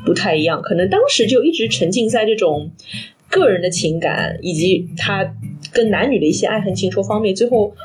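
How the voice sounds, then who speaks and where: A woman's voice: mid-range voice, expressive, a little fast. A young woman from Shanghai co-hosting a podcast, talking casually and reflectively about films in a chatty storytelling way. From Shanghai, China. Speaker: podcast co-host. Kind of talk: casual film discussion. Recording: music playing behind the voice.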